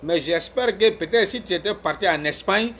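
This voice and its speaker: Man from Ivory Coast, live-stream commentator, a bit high-pitched, combative and repetitive, faint noise behind. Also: normal speed, a bit bright. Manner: argumentative, scolds viewers by name, repeats himself. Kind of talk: heated political commentary.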